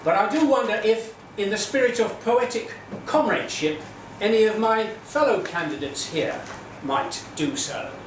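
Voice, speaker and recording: A man's voice: high-pitched, moderate pace. Wry formal man in an echoey hall. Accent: English. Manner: wry, formal, theatrical address. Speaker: host and poetry candidate. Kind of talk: compering a live poetry event. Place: small theatre venue. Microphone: far from the mic. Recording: echoey hall from far off, audience noise.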